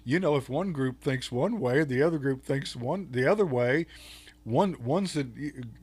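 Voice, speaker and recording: An older man from Texas with a Texan accent, a guest on an interview show, talking in a halting way with many fillers and restarts. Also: medium pitch, lively intonation, a little mellow, slightly rough. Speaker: podcast guest, author. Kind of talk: casual interview talk.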